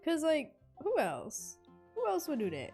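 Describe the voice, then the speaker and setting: A woman game streamer chatting informally and haltingly on a livestream, often repeating herself. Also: sits high, huge pitch swings, a little mellow, faint music behind. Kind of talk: casual livestream chat.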